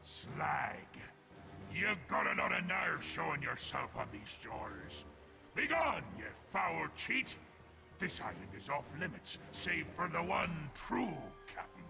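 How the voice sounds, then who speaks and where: An actor playing a gruff, suspicious old pirate ghost in a video game, speaking in theatrical archaic pirate talk. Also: male voice, fairly high pitch, wildly swooping pitch, slow pace, music in the background, exaggerated voice. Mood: angry. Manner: archaic pirate speech, grandly menacing.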